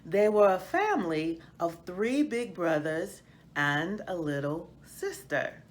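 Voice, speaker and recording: A woman reading a children's picture book aloud as a storyteller, in a deliberate, expressive manner. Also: fairly low pitch. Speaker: read-aloud host, storyteller.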